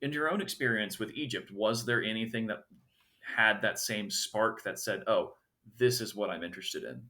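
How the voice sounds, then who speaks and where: A man's voice: fairly low pitch, moderate pace, pushed and bright. A man hosting a history podcast, chatting frankly and asking his guest questions. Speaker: podcast host, early medievalist. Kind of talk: casual interview questions.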